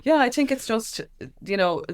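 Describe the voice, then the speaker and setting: A woman's voice: on the high side, expressive, moderate pace, clear, resonant tone. An Irish woman speaking as an activist guest in a candid interview, with an emphatic, impassioned way of talking.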